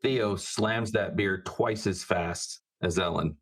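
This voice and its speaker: A man playing a tabletop roleplaying game, speaking informally and haltingly as he improvises. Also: low voice.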